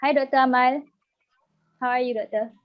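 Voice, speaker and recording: A woman introduces panelists on an online webinar, upbeat and formal. Her voice sits slightly high. It comes through dull, lo-fi video call audio. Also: steady tone, normal speed. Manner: upbeat, reads speaker bios. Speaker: webinar moderator.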